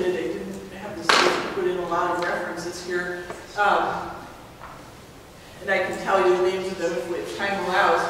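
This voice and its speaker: A woman's voice: mid-range voice, background noise. Lady presenting research, casual and chatty with lots of um's, echoey hall, sounds far from the mic. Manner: relaxed, chatty, with frequent "um" fillers. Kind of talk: conference presentation. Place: large lecture hall.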